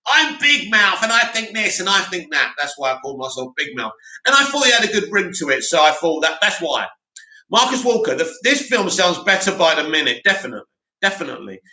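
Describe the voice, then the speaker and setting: A man hosting a live stream, reading out viewer comments and answering them in a loud, opinionated way with lots of restarts. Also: high voice, sing-song, brisk. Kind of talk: casual live chat. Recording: echoey room.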